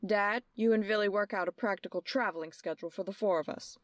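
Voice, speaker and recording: An actress playing a determined young female detective in an earnest, crisp old-time radio drama style. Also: medium pitch, fast, no room sound, natural voice. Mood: brisk, commanding.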